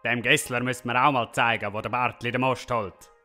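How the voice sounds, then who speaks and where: A man's voice: mid-range voice, steady tone, fairly quick, bright, forceful tone, faint music behind, natural voice. An actor playing a stubborn, hot-headed family father and folk hero in a comic audio drama, blunt and bossy, with a Swiss German accent. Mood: grumbling, defiant.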